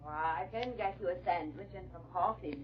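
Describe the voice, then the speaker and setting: An actress playing a thrifty, practical country blacksmith's wife in a 1940s radio drama. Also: female voice, on the low side, a little fast, natural voice. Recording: muffled, faintly noisy vintage radio.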